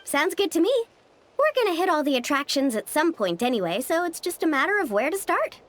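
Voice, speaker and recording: Frank young tour guide. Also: female voice, sits high, very animated, a little fast, slight background noise. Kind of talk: video game voice acting. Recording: game audio with soft music behind.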